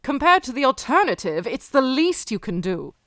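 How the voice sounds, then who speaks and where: An actress playing a defiant, ruthless young heiress in a radio play, sharp-tongued and imperious. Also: female voice, high-pitched, big pitch swings, moderate pace, dry recording, clean studio sound. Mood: guarded.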